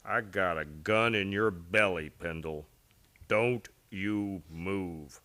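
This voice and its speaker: A man's voice: sits low, takes it slowly, pushed and bright, heavily acted. A man playing a sly, menacing cowboy bully in a Western radio play, folksy and drawling.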